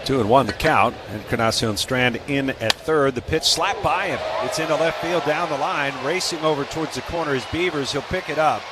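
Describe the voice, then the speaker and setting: A man's voice: fairly high pitch, brisk, few pauses. An American man calling live baseball play-by-play in a radio commentary style. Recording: clearly noisy live ballpark broadcast.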